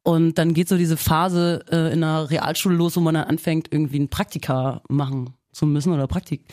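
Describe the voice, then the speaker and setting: A woman's voice: low voice, steady tone, fairly quick, darkish tone, no room sound, clean studio sound. A woman talking as a guest musician in a casual chat, loose and laughing often.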